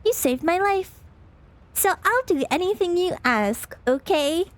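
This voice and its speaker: A woman's voice: animated, unhurried. A woman voicing a small, naive, eager ooze monster girl from a visual novel in a wobbly, cute voice. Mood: eager, pleading. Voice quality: wobbly, high-pitched.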